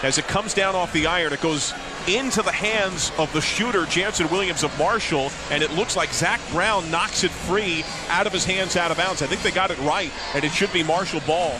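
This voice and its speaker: A man doing live radio play-by-play of a basketball game in an urgent, clipped announcer's delivery. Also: sits high. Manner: urgent, rapid-fire clipped calls. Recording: loud arena noise, bouncing balls. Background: loud basketball arena.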